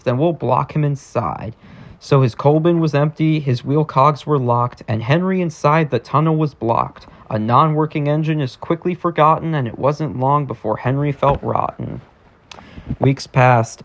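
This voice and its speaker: A man reading a rhyming children's storybook aloud in an expressive, sing-song way. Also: a little high. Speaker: storybook reader.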